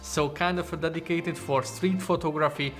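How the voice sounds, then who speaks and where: A man's voice: on the high side, fairly even intonation, moderate pace, a bit bright, faint music behind. A young man from Hungary with a Hungarian accent, speaking English as a second language in an earnest, informal vlog about his photography channel. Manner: earnest, informal, non-native phrasing. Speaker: photography YouTuber. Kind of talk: casual talking-to-camera vlog.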